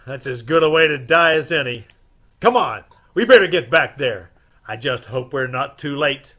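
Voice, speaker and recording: A man's voice: medium pitch, expressive, normal speed, pushed and bright, slightly rough. A man playing a wry, cool private detective with a dry, teasing manner, in an old-time radio mystery. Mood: urgent, clipped.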